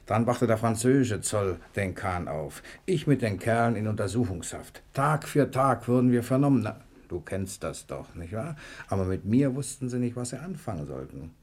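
A wily, easygoing adventurer holed up in a cell, played by a male actor in a vintage radio crime play. His voice sits fairly low and swings freely in pitch. He talks in a chummy, wry, unhurried-by-trouble way. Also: darkish tone, close to an everyday voice. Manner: laid-back, chummy, wry. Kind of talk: 1960s German radio crime drama acting.

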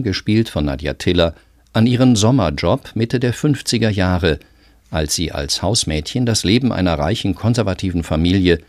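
A man's voice: low voice, darkish tone, no echo. A man presenting a literary radio programme, reading a scripted introduction close to a studio microphone.

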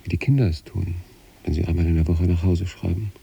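An actor playing a troubled, detached sanatorium patient who recites letters to his wife in a measured, brooding radio play monologue. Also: deep voice, steady tone, creaky, dark tone, muffled recording, a little hiss or hum. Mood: uneasy, restrained.

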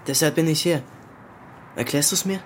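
A young actor playing a self-assured, probing teenage boy from a wealthy family in a radio drama, cool and deliberate. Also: a little high, slight background noise, natural voice. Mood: challenging. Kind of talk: German radio drama, recounting and dialogue.

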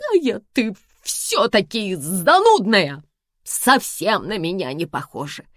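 A woman voicing a capricious, theatrical mother in her seventies, whiny and melodramatic, with a lively, swooping pitch. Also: takes it slowly, dry recording, studio quality, exaggerated voice. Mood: exasperated. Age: 70s.

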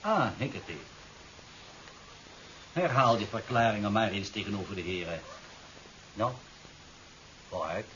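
An actor playing a self-assured, condescending government official in a 1970s radio drama, formal. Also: male voice, fairly low pitch, a little slow, long pauses, fairly bright tone. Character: smooth-talking, assured male official, condescending and domineering. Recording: dull, hissy 1970s radio recording.

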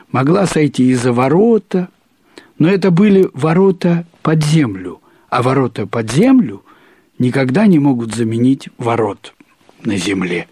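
A man reads a literary story aloud in the first person, expressively, with deliberate phrasing, drawn-out words and pauses. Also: mid-range voice, a little mellow, husky, dry recording, clean studio sound. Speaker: narrator reading a short story aloud.

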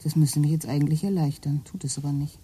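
A woman's voice: sits low, steady tone, quick pace, warm tone, slightly rough. An actress playing a middle-aged wife in a radio play, dry and sarcastic with her husband.

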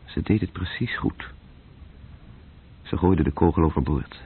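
A man narrating a literary radio drama in terse, measured phrases, with a gravelly, breathy voice. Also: low-pitched, expressive. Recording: muffled, tinny old radio-play recording.

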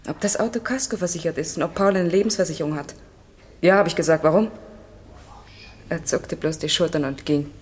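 A woman's voice: low voice, brisk, long pauses, a little mellow, slightly rough. An actress playing a grieving, bitter widowed mother in a German radio crime drama, weary and subdued.

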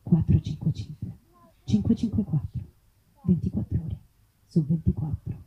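Woman playing flirty hotline lady in a joke ad, lowish voice, suggestive chat, tinny phone sound. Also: normal speed, close to an everyday voice. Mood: flirty, chatty. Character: flirtatious woman voicing a spoof phone-line ad. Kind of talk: parody advert voice-over.

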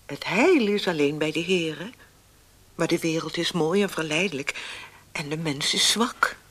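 A woman playing a gentle, God-fearing mother in a radio play, tender and earnest. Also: sits low, measured pace, husky, heavily acted. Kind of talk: radio drama acting.

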